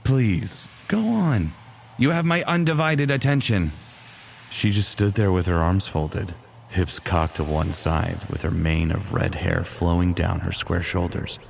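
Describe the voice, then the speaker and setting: Guy playing teasing titan soldier telling his own story, really low gravelly voice, dry humor, audio drama, bit noisy. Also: a little slow. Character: smitten, teasing male Titan warrior narrating his exploits. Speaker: narrator and lead character. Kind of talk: first-person narration in fan fiction audio drama.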